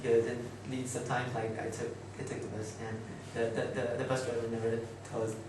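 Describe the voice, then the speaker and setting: A young Taiwanese man gives an informal talk in halting, chatty English with a Taiwanese accent, often doubling back on his phrases. His voice sits in a middle register. It is picked up from a distance in a very echoey room with clear background noise. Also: medium pitch, level tone. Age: young adult. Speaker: student speaker. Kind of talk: informal presentation to students.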